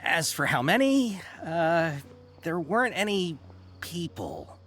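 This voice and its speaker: A man's voice: a little high, sing-song, slow pace, a bit bright, full character voice. A man voicing a nervous male caravan merchant who talks in a hesitant, rambling and apologetic way. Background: game music.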